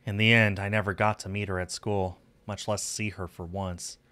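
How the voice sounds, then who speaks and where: A man's voice: on the low side, little pitch movement, moderate pace, sharp tone, clear, resonant tone. A man streaming a visual novel, reading the narration aloud and adding casual asides. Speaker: game streamer. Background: music.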